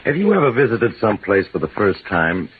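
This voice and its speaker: An actor playing a grave, learned doctor in a 1940s American radio mystery, speaking in a measured, solemn way. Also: male voice, fairly low pitch, big pitch swings. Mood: grave, foreboding. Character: grave, learned male doctor warning of spirits. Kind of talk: 1940s radio drama acting. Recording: noisy, narrow-band 1940s radio recording.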